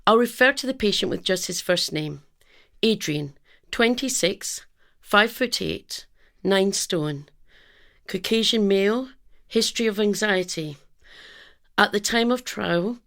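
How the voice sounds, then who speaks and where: A woman's voice: medium pitch, vocal fry, fairly bright tone. Serious lady doctor in a radio play, careful and a bit hesitant, mid-range voice. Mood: uneasy, formal. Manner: hesitant, clinical, measured. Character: earnest, careful female doctor presenting a case study. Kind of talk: radio drama acting.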